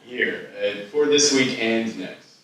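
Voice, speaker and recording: A man's voice: medium pitch, slow pace, far from the mic, crowd noise, natural voice. A man playing a hesitant, eager client of a paid companion in a live screenplay table read, speaking tentatively. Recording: very echoey room, off the mic.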